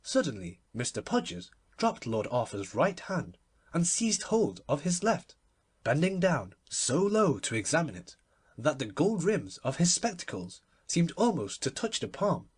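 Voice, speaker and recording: A man reading literary fiction as an audiobook narrator, expressive and dramatic. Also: fairly high pitch, measured pace, breathy edge.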